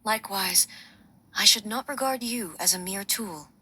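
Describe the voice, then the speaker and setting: A woman voicing a solemn, composed immortal warrior ruler in a video game, speaking in a formal, measured and grave way. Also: medium pitch, background noise, natural voice. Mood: solemn, accepting. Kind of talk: scripted video game dialogue.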